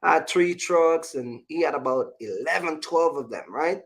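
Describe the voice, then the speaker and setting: A man's voice: fairly high pitch, animated, moderate pace. A man talking casually as a vlog host, giving business advice and personal stories in an emphatic, repetitive way, often checking in with the listener.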